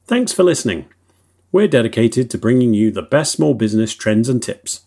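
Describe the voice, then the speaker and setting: A man announcing a podcast intro in a dark, mellow, gravelly voice. His delivery is lively and swinging, with a slightly husky edge. He reads it polished and scripted. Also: mid-range voice, normal speed.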